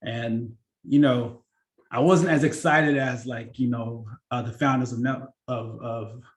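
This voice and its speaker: A man's voice: medium pitch, unhurried. Man, 30s, workshop presenter; casual, chatty, filler-heavy delivery. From born in Kenya, grew up in Seattle. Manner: relaxed and chatty, many fillers and restarts. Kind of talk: casual career talk to students.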